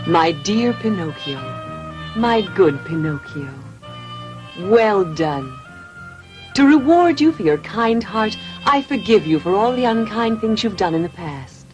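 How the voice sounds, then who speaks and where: Woman as kind cartoon fairy, mid pitch, gentle n formal, soft music behind. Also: expressive, a little slow, natural voice, low-quality recording. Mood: tender, approving. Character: kind, gracious, forgiving fairy. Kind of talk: classic cartoon dub acting.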